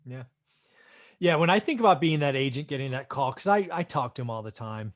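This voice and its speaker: A man's voice: mid-range voice, expressive, a little fast. A man, a real estate investor and host, giving conversational business commentary in an explanatory, affirming way, often checking in with a short question.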